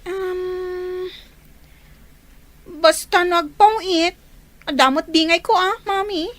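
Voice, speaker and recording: A woman's voice: very high-pitched, takes it slowly, a bit bright, a little hiss or hum, exaggerated voice. A woman with an Ilocano accent playing a wary, questioning teenage daughter in a radio drama. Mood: wary, pleading. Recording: background music under the voice.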